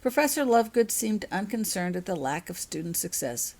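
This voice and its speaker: A woman's voice: mid-range voice, big pitch swings, brisk, darkish tone. A woman reading her own fiction aloud as an audiobook-style story narration.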